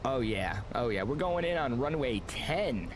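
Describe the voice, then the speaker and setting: Rambling streamer man with lively pitch. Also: unhurried, noisy background. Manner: rambling, filler-heavy, excitable. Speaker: flight sim streamer. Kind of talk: casual gameplay commentary.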